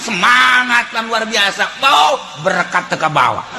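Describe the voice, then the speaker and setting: High-pitched Sundanese-accented male preacher. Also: measured pace, gravelly, heavy background noise. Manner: animated, shifting between chanting, shouting and folksy asides. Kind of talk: fiery religious sermon with storytelling.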